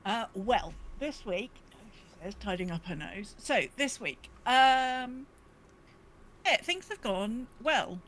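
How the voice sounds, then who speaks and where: A woman's voice: a little high, lively intonation, slow, fairly bright tone, poor audio. A woman co-hosting a podcast, chatting casually about gaming in a rambling, self-correcting way. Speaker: podcast co-host.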